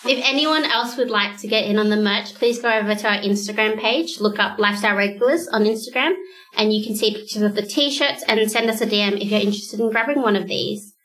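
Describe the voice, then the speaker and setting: A woman's voice: mid-range voice, a little fast, fairly bright tone, roomy sound, poor audio. A woman hosting a podcast, chatty and reflective in a casual, self-mocking way. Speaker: podcast host. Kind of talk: casual chat.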